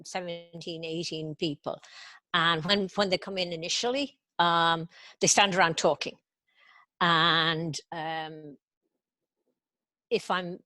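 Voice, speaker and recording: A woman's voice: on the low side, no room sound. A woman from Ireland, talking casually and hesitantly as a guest practitioner. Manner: hesitant, searching for words, animated when describing overwhelm. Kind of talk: casual conversation in a tapping session.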